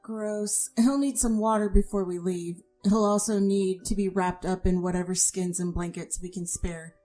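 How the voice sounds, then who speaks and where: A woman's voice: mid-range voice, steady tone, moderate pace, mellow, soft background music, natural voice. A woman playing a practical, blunt young woman in an audio drama, with a dry, matter-of-fact manner.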